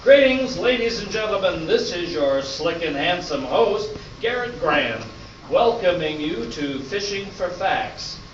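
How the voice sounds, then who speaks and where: Slick, self-satisfied radio quiz host played by an amateur man, high-voiced and unhurried, showy announcer delivery. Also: sing-song. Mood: showy, upbeat. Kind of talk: amateur stage radio play. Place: hall at a live arts festival performance. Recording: distant, echoey, noisy dull live recording.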